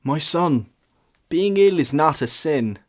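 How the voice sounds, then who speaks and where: A man voicing a world-weary, stern parish priest in a story, with a measured, solemn, admonishing manner. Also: a little high, animated, pitched above the performer's own voice. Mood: grave. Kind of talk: short story character reading.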